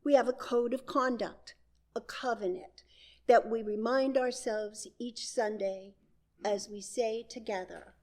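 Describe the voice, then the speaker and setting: Slow-paced, higher-voiced woman leading worship. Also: fairly even intonation, a little mellow. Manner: deliberate, solemn phrasing with pauses. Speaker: worship leader. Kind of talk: call to worship, measured ceremonial reading.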